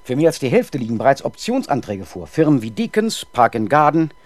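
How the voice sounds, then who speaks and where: A man's voice: medium pitch, very animated, a little fast, dry recording, natural voice. An actor playing an indignant, pompous male town councillor in a 1970s German radio crime drama. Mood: proudly explaining. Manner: blustering, pompous.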